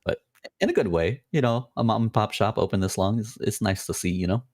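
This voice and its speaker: A man co-hosting a podcast in casual chat, dropping quick dry quips and teasing remarks. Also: fairly low pitch, expressive, a little mellow, no room sound. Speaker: podcast co-host.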